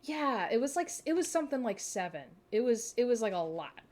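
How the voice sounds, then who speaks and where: A woman's voice: fairly high pitch, very animated, brisk, darkish tone. A woman in her twenties with a Midwestern American accent, chatting casually and giggling as she streams a game. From Minnesota, USA. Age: 20s. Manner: chatty, giggly, self-deprecating. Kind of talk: casual live-stream chat while playing.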